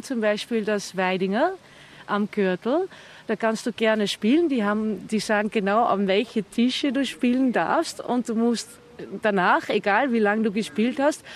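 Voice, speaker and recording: A middle-aged woman whose first language is Dutch, giving thoughtful, explanatory interview answers as the founder of a chess initiative. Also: a little high.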